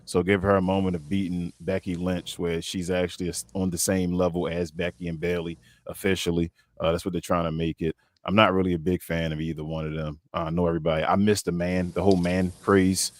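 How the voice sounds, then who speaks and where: Deep voiced guy, laid back rambling takes, dry sound. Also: little pitch movement, brisk, few pauses, dry recording. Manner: laid-back, rambling, many fillers and restarts. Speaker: podcast co-host. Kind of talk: casual panel chat.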